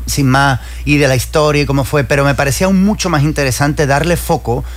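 A man's voice: fairly high pitch, steady tone, quick pace. A man from the Canary Islands speaking with a Canarian Spanish accent, a guest singer and actor chatting and explaining in an interview. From Canary Islands, Spain. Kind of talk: interview conversation.

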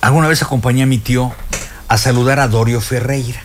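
Rambling older Mexican radio guest. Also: male voice, mid-range voice, fairly even intonation, quick pace, a little hiss or hum. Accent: Mexican Spanish. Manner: rambling, storytelling, many fillers and restarts. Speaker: radio music guest expert. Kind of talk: casual radio chat. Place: radio studio.

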